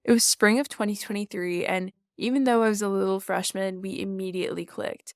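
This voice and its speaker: A woman's voice: medium pitch, fairly even intonation, a little fast, clear, resonant tone, no room sound, clean studio sound. A teenage girl from New York City, a student reporter asking a guest admiring, partly scripted interview questions. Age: teens.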